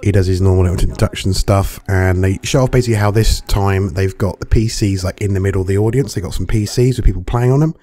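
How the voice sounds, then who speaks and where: A hungover man with a gravelly voice and dry throat, rambling through casual, sweary commentary as a gaming reviewer. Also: low-pitched, fast talker, hardly any pauses, warm tone. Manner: rambling, chatty, swears freely. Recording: music playing underneath.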